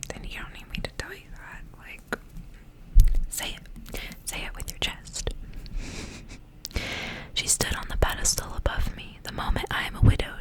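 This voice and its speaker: A woman speaking in a breathy, unvoiced whisper, in the intimate style of an ASMR reading. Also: rough recording. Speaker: ASMR creator reading stories aloud. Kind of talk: whispered ASMR storybook reading with casual asides.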